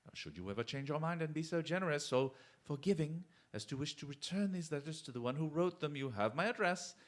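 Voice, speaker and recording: A man acting a courteous, shrewd, chivalrous detective in a radio drama, with formal, deliberate speech. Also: fairly high pitch, expressive, lightly acted. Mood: gallant, firm. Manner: formal, polite, theatrically deliberate.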